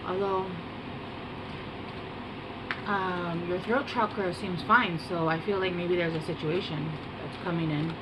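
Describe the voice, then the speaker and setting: A woman giving a casual tarot reading to camera, soft-spoken and meandering, with many pauses and fillers. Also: lowish voice, fairly even intonation. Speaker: tarot reader. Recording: home recording with air-conditioner hum.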